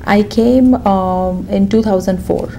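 A woman in her thirties from India with a Gujarati accent, answering questions in a deposition in a conference room, soft-spoken and hesitant. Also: mid-range voice, takes it slowly, gravelly, slight background noise. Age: 30s. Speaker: deposition witness, physician assistant. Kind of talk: answering questions under oath.

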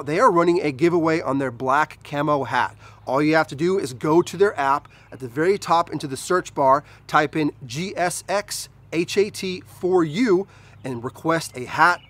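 A man's voice: a little high, a bit bright. An American man in his thirties who works as an HVAC technician, presenting casually to camera. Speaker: HVAC technician and video host.

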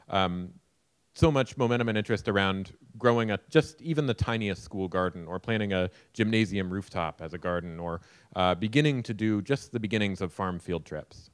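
Low-pitched American man speaking at a conference. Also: no room sound, studio quality. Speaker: keynote speaker. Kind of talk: conference talk answering audience questions. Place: conference hall stage, indoors. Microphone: handheld microphone.

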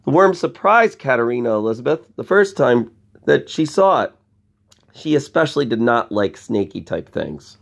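A man's voice: mid-range voice, big pitch swings, normal speed, gravelly. A man reading a picture book aloud to children, expressively, with chatty asides and questions to young listeners. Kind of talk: bedtime story read-aloud with conversational asides.

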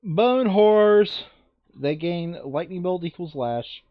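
A man's voice: high-pitched, animated, slow pace, no echo, dull audio. A man chatting casually through a board game, counting moves and reading out rules.

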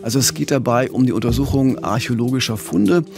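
A man's voice: mid-range voice, fast, music in the background. A middle-aged man co-hosting a true-crime podcast in a conversational narrating style, on a studio microphone. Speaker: podcast co-host. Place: small radio studio. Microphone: studio microphone with pop filter, headphones.

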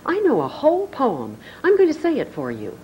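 A woman's voice: high voice, wildly swooping pitch, normal speed, warm tone, slight background noise, pitched above the performer's own voice, poor audio. A woman voicing an eager, clingy little girl in a solo stage reading. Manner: eager, pleading.

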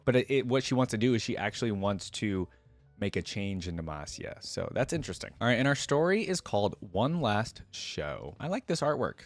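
A man's voice: lowish voice, no echo. A young man hosting a reaction video, reading lore aloud and adding casual, chatty commentary into a close desktop microphone. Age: young adult.

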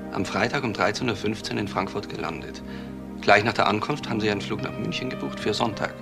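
An actor playing a precise, factual police investigator in a crime radio drama. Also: male voice, on the low side, gravelly. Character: male junior police investigator, brisk and factual.

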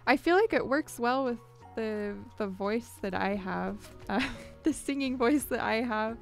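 Chatty young woman streamer, gravelly edge. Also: fairly high pitch, animated, unhurried, soft background music. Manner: chatty, upbeat, squeals greetings at viewers. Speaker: music streamer. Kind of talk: casual live-stream chat. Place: small home studio, indoors. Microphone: large studio mic on boom, headphones.

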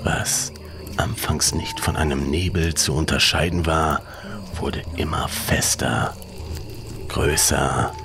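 A man reading a scripted horror story as a dramatic narrator, in a hushed, breathy, ominous delivery. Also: a bass voice, noisy background. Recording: music behind the voice. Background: music.

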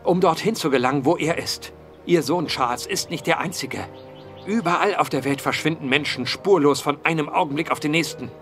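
Eloquent mystery investigator, music behind. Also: male voice, on the high side, brisk, a bit bright. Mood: earnest. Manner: formal, eloquent, storytelling. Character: Andrew, earnest, eloquent male investigator of strange disappearances. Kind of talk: period mystery radio play acting.

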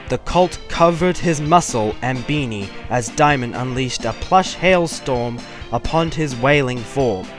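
Male story narrator over music. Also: medium pitch.

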